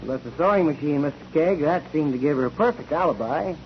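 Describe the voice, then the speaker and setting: An actor playing a dignified, courteous judge in a 1940s radio courtroom drama. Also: male voice, a little high, lively intonation, brisk. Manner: formal, dignified. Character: formal, courteous male judge presiding over court. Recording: dull, noisy 1949 radio recording.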